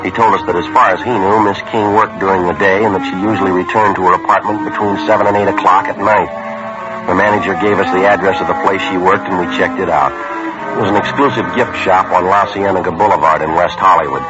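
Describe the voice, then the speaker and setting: Guy doing a deadpan police sergeant, deep and quick, old muffled radio. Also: natural voice. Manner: clipped, flat, matter-of-fact. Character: terse police sergeant narrating a case. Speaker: police detective and narrator. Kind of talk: 1950s radio drama acting. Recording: dull old radio broadcast with music.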